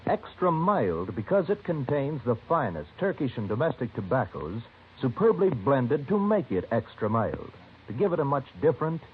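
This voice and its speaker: A man's voice: medium pitch, big pitch swings, normal speed, a little hiss or hum. A man reading advertising copy as a 1950s radio commercial announcer, with a polished sales delivery. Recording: muffled vintage radio broadcast.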